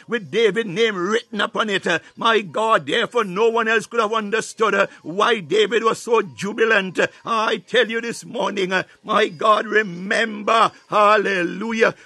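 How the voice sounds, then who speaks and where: A man's voice: high voice, moderate pace, pushed and bright, husky, no room sound. A man preaching a fervent charismatic sermon and prayer, breaking his phrases with repeated exclamations.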